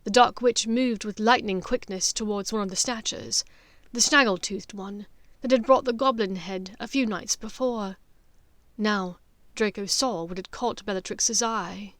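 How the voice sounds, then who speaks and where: A woman's voice: mid-range voice, measured pace, fairly bright tone, no echo. A woman reading scripted fiction as a dramatic audiobook narrator.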